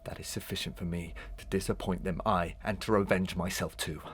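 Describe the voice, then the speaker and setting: A man's voice: low voice, steady tone, a little fast, a little hiss or hum, lightly acted. A man playing a proud, bitter condemned murderer in a Victorian melodrama, brooding and theatrical in archaic diction. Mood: brooding, defiant.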